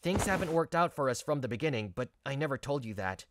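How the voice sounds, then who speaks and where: An actor playing a mild, earnest young male office worker in a manga story dub, speaking in a sincere, measured way. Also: medium pitch, expressive, background noise, natural voice. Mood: apologetic. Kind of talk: scripted manga story dub, narration and dialogue.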